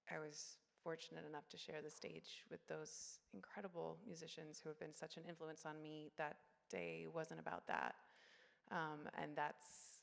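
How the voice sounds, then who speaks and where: Woman, very low flat voice, creaky edge, earnest and measured, echoing hall. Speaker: flutist, panel guest. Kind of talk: panel interview answers. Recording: long reverb of a concert hall.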